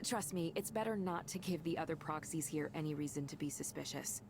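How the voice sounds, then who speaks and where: A woman's voice: sits low, fairly quick, background noise. An actress playing a friendly, starstruck woman manager in a video game, chatty, earnest and reassuring. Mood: discreet, reassuring. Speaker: manager of counseling centers.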